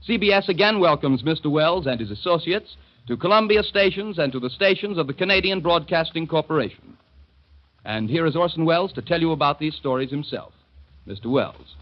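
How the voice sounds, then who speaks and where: A man making a formal, measured network announcement on a 1930s radio broadcast. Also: a little high, expressive. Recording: muffled 1930s radio with faint music.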